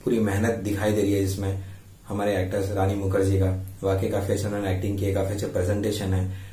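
An Indian man with a slightly sore throat hosts a casual film review. His voice is low and extremely monotone, with halting, repetitive phrasing. It sounds a little distant from the microphone. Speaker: YouTube film reviewer and host. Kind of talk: casual movie review to camera. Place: indoors before a green screen. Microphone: lapel mic. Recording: roomy echo, distant-sounding mic.

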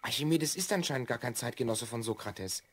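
A male actor playing an earnest, excitable twelve-year-old boy from the future in a children's audio drama. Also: mid-range voice, a little fast, husky. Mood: anxious, despairing. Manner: earnest, exclamatory, emphatic.

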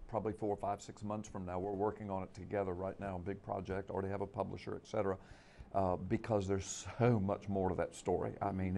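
A man's voice: fairly low pitch, slight background noise. A middle-aged man from the US Gulf Coast, a pastor and author, telling a story animatedly on a TV studio panel with a lapel mic. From United States Gulf Coast. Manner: animated storytelling, gesturing with his hands. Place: TV studio set.

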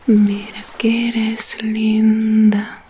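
A woman playing a relaxed, tender young woman in love in an intimate audio drama, murmuring breathily. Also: fairly high pitch, extremely slow, slight background noise. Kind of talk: intimate audio fiction acting.

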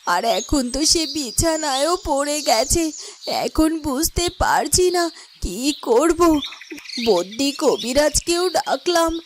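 Woman as a plaintive, high-voiced village wife. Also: expressive, takes it slowly, soft background music. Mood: distressed. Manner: plaintive, lamenting. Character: distressed, devoted village wife with a starving husband. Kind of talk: Bengali fairytale cartoon monologue.

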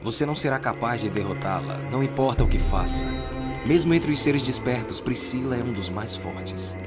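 A man with a Brazilian accent voicing a sly, condescending agent in a measured, mocking way for an anime fan dub. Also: mid-range voice, animated, over loud music, voice pushed down, lightly acted. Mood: calm, condescending.

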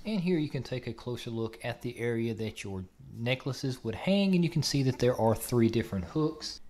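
Mellow-voiced young man explaining assembly. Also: fairly low pitch, slight background noise. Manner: plain, matter-of-fact explaining. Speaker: host of a review channel. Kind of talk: instructional product assembly voice-over.

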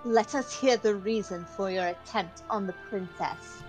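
Woman playing a measured, earnest male knight. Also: medium pitch, faint music behind, close to an everyday voice. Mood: stern. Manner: formal, measured, courtly. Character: stern, earnest male knight questioning and advising. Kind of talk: amateur video game dub.

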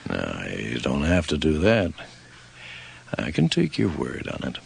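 Creepy old railroad man, folksy slow drawl, super low raspy voice, radio horror thing. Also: huge pitch swings, full character voice. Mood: quietly menacing. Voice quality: very deep, gravelly. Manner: folksy rustic drawl, unhurried. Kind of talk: radio horror drama acting.

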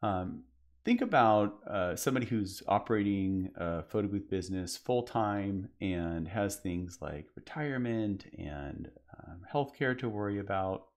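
A man's voice: sits low, lively intonation, unhurried. A man presenting a conference session, explaining in a casual way with frequent fillers and restarts. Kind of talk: business presentation.

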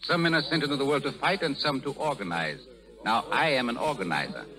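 An actor playing a sly, self-serving schemer in a 1930s radio drama, suave, formal and wheedling. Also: male voice, mid-range voice, lively intonation, fast talker, pushed and bright, soft background music, rough recording. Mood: suave, evasive. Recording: old 1930s radio broadcast.

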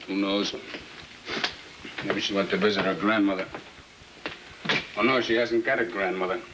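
Casting: male actor as middle-aged American dad, low voice, sour and sarcastic, 1950s film, noisy old soundtrack. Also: fairly even intonation, moderate pace, close to an everyday voice. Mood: bitter, sarcastic. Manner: sarcastic, grumbling. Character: bitter, suspicious middle-aged husband and father. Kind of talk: 1950s film drama acting.

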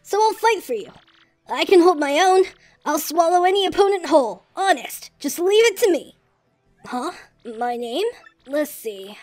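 A woman's voice: very high-pitched, animated, a little slow, darkish tone, soft background music. A woman voicing a cocky, eager newly hatched boy dinosaur in a fan-dubbed video game, brash and slangy.

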